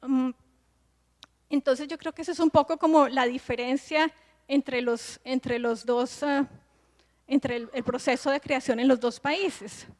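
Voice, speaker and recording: A woman's voice: high-pitched, fairly even intonation, unhurried, darkish tone. A woman in her forties, a film director and screenwriting teacher, explaining things on a festival panel, thinking aloud and restarting often. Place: large festival hall. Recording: long reverb in a large hall.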